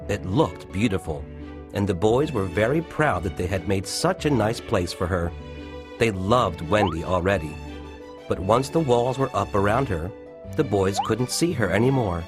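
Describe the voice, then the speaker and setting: Low-pitched narrator of a children's story. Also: male voice, lively intonation, normal speed, over music.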